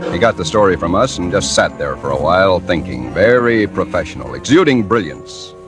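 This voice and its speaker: Fast-talking hardboiled American reporter. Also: male voice, low voice, lively intonation. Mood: wry, suspenseful. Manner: hardboiled, sardonic. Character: brash, wisecracking newspaper reporter narrating his own story. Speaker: narrator and lead actor. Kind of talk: 1940s radio noir acting, first-person narration. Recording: vintage 1940s radio drama with music.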